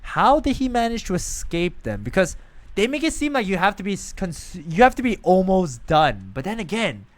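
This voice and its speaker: A man's voice: sits high, very animated, moderate pace. A man live streaming a video game, reading dialogue and reacting in a rambling way, often repeating himself.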